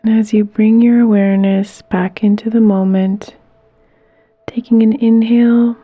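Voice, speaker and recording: A woman leading a guided meditation in a soft, gentle, soothing way, with unhurried pauses and some breathiness. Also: a little high, little pitch movement, no room sound, phone-quality sound, soft background music.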